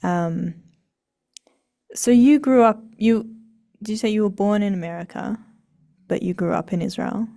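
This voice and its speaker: Gravelly, mellow-voiced female podcast host. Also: medium pitch, measured pace, long pauses. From Australia. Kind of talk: casual conversational interview.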